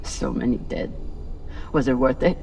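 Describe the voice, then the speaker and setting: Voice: mid-range voice, sing-song, measured pace, creaky, dark tone, soft background music. An actor playing an embittered, defiant former soldier in a video game, with an intense, accusing way of talking. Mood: bitter reproach. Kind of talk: dramatic video game voice acting.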